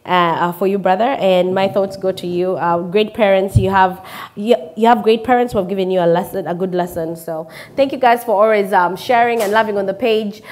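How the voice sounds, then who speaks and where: A woman's voice: medium pitch, fairly quick, darkish tone. A woman, hosting a conversational interview in an encouraging way and repeating phrases for emphasis. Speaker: talk show host. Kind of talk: conversational interview, reading viewer messages. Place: indoor television studio. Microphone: lapel mic.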